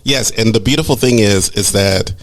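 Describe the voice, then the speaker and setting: A man co-hosting a casual chat show, speaking in a measured, reflective way about personal matters and often murmuring agreement. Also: on the low side. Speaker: podcast co-host.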